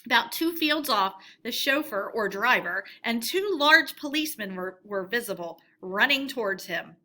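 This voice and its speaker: A woman storyteller reading a storybook aloud in an expressive narrating style. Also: a little high, moderate pace.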